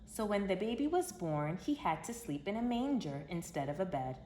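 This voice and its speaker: A woman reading a children's story aloud in an expressive, emphatic storytelling manner. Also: mid-range voice, moderate pace. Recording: roomy echo with background hiss.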